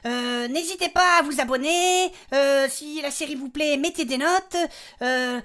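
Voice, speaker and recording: An actress playing an outspoken, easily flustered adventurer with a blunt, coarse manner in a comic fantasy audio drama. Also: female voice, high-pitched, unhurried, a bit bright. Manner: hesitant fillers mixed with coarse bluntness.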